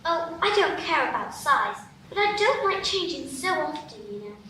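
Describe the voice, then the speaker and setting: A woman's voice: sits high, sing-song, moderate pace, bright, forceful tone, exaggerated voice. A woman voicing a polite, earnest little girl in a live radio play, speaking in a prim, proper way. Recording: roomy stage from a distance, faint hiss.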